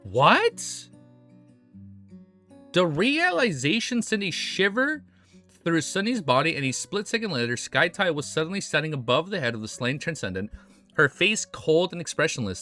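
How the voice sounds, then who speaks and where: A young man streaming a reaction, reading a novel aloud with excited, swearing outbursts, his voice a bit hoarse. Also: a little high.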